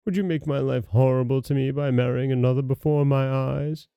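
A man's voice: mid-range voice, fairly even intonation, fairly quick, creaky, clean tone, dry recording, highs cut off, voice pushed up, natural voice. A man voicing an earnest, devoted, lovelorn king in a storybook tale. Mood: anguished.

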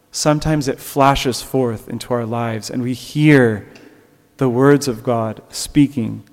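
A man's voice: mid-range voice, a little mellow, long reverb tail. A man preaching a sermon in an earnest way, rising at times to emphatic peaks. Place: church.

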